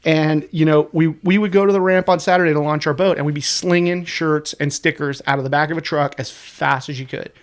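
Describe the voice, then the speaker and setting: A man in his forties talks in a slightly raised register, his voice stuffed up and sniffly from a sinus infection. He tells stories quickly and loosely, dropping curse words as a podcast guest. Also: on the high side. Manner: fast, profane, animated storyteller. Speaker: guest, website co-creator. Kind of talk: casual podcast chat.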